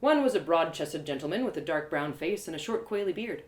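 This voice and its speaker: A woman narrating a first-person story live, in an expressive, theatrical storytelling manner. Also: sits low, brisk, echoey room. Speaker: storyteller, audio drama creator.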